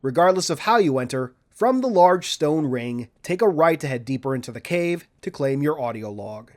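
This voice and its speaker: A man reading a scripted video game walkthrough in a measured, orderly, instructional way. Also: on the high side, lively intonation, a little mellow. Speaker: video game guide narrator. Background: background music.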